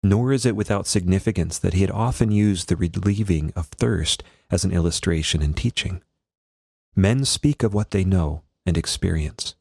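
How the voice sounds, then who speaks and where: A man, a priest, reading a devotional text aloud in a solemn, measured and formal manner. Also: sits low, gravelly, darkish tone, no room sound, clean studio sound.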